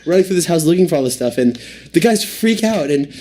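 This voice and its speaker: Young man, excitable comic storyteller, rattles along quickly on a slightly high voice, mock-serious conspiracy-spinning tone in a relaxed podcast chat. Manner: excitable, tells absurd mock-serious tales. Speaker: podcast co-host.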